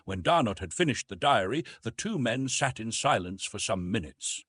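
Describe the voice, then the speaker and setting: A man's voice: lowish voice, very animated, moderate pace, fairly bright tone, slightly rough, no echo, clean studio sound. A man giving scripted audiobook narration of a classic adventure novel.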